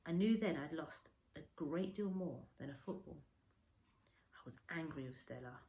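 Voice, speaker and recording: A woman reading a children's story aloud like a teacher, with expressive, varied emphasis. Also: low-pitched, normal speed, a little hiss or hum. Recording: roomy, echoey indoor sound.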